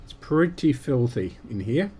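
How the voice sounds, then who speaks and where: Slow, mellow man muttering at a workbench. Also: medium pitch, sing-song, slightly rough. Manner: halting, muttering to himself as he works. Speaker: host of an electronics repair stream. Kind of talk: unscripted workbench commentary while working.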